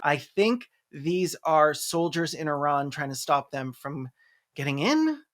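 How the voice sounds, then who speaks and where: Video essayist roasting a movie, sarcastic and sweary, medium pitched voice. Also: big pitch swings, measured pace, noisy background. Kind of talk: comedic film review commentary.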